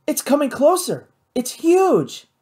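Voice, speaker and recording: An eager young woman in an adventure audiobook, acted out by a narrator. The voice is high and breathless, leaping with urgent excitement as she exclaims. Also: normal speed, slightly rough. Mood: breathless, triumphant.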